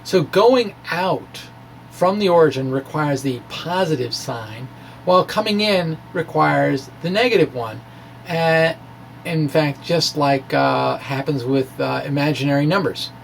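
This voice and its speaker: A man giving an informal science explanation in a halting way, as if thinking aloud. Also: a little high, gravelly, slight background noise. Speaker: amateur scientist presenting his blog.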